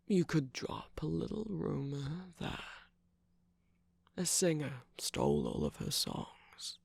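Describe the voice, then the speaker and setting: Someone putting on a smug flirty villain cookie, super creaky n breathy, whispery asmr roleplay, close up and dry. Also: medium pitch, a little mellow, no echo, heavily acted. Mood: smug, teasing. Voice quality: breathy, gravelly, often dropping to a whisper. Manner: slow, coaxing, teasing, mock-innocent. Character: teasing, smug villainous cookie radio host, seductive trickster. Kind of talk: ASMR romantic roleplay, scripted character acting. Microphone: close microphone, dry.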